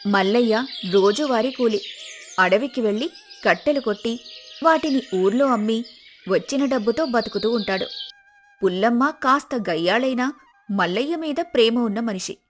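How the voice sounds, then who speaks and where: Lady storyteller, highish voice, reading a folk tale with music behind. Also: lively intonation, normal speed, long pauses. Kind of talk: scripted folk tale narration.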